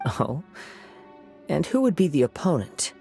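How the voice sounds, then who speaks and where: A man voicing a calm, gentle young wandering samurai in a video game, speaking in a soft, reflective way. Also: a little high, unhurried, gravelly, soft background music, heavily acted.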